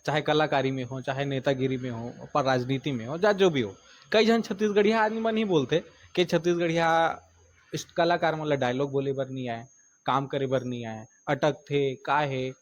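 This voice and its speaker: A man's voice: medium pitch, fairly even intonation, normal speed, dull audio. A man with a Chhattisgarhi accent, interviewing and asking questions hesitantly, with many fillers.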